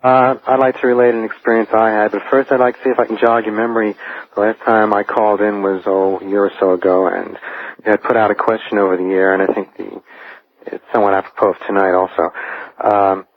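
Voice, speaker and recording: Male phone-in caller, even unvaried tone, measured pace of thought, narrow phone-line audio. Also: lowish voice, no echo. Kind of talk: conversational recounting on a radio call. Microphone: telephone.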